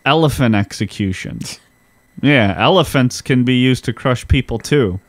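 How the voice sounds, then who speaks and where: A man's voice: medium pitch, lively intonation, a little slow, no room sound. A man co-hosting a podcast in casual chat, chatty and swearing casually. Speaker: podcast co-host.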